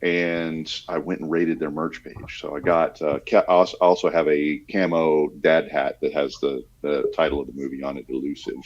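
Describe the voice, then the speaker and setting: A man's voice: very deep voice, little pitch movement, moderate pace, hardly any pauses, darkish tone, dull audio. A man co-hosting a podcast in casual conversation. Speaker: podcast co-host. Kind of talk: casual chat.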